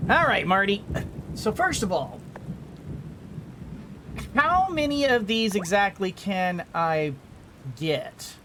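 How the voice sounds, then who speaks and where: A middle-aged woman hosting a let's-play in casual, rambling style. She talks slowly at a medium pitch, playfully talking to herself, over faint background noise. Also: expressive. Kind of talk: casual gameplay commentary.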